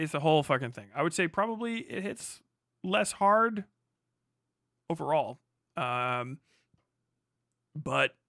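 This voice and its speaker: Clear-voiced man thinking aloud on stream. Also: on the high side, sharp tone, no room sound, studio quality. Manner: swears freely; halting, muttering while reading figures aloud. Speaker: live streamer. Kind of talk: casual livestream talk, thinking aloud.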